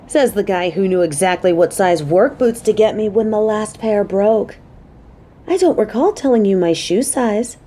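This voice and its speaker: A woman's voice: medium pitch, animated, normal speed, warm tone, lightly acted. A woman playing a caring, playful coworker on a date in an audio roleplay, talking to the listener in a motherly, teasing, chatty way.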